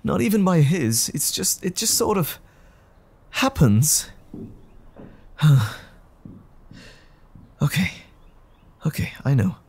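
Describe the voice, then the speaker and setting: Guy acting a troubled gentleman scientist, slow and gravelly, formal, anxious, halting, audio drama. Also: fairly high pitch, very animated, heavily acted. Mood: anxious, strained.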